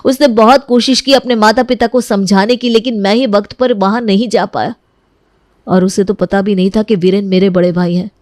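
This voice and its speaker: A mid-pitched, closely recorded voice of a protective young man, played by a woman. He is earnest and insistent, with the delivery of a cartoon moral story. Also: fairly even intonation, moderate pace, darkish tone, dry recording, clean studio sound, close to an everyday voice. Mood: protesting. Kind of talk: acted dialogue in Hindi moral story cartoon.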